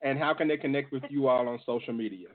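A man's voice: mid-range voice, quick pace, noisy background. Muffled male interview host. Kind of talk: conversational interviewing. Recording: muffled, band-limited audio.

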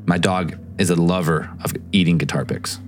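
A man's voice: low-pitched, steady tone, normal speed, a little hiss or hum. A man hosting a call-in show, chatting casually and joking with callers and co-hosts. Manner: laid-back, joking. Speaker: host.

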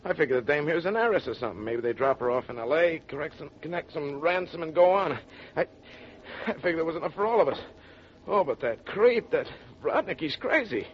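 A man's voice: a little high, lively intonation, fairly quick, natural voice. Man to play cowardly, queasy hoodlum in 1940s radio drama, slangy delivery, dull noisy recording. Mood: sickened, rattled. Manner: slangy street-tough talk. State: sick, queasy. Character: sick, frightened small-time crook, slangy, cowardly. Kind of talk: 1940s radio gangster acting.

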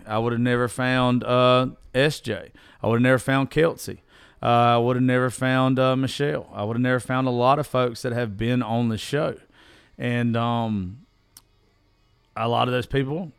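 A Southern US man talks casually as a solo podcast host, with a mid-pitched voice that is strained from overuse yet still fairly clean. He rambles in a folksy, salty-tongued way, recorded close and dry. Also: vocal fry, clean tone, no echo. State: voice strained from losing it.

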